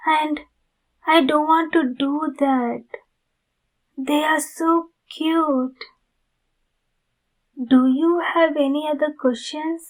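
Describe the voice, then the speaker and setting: A woman voicing a kind, polite vampire neighbor in an ASMR roleplay, gentle and apologetic in manner. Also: high voice, rough recording. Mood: gentle, hesitant.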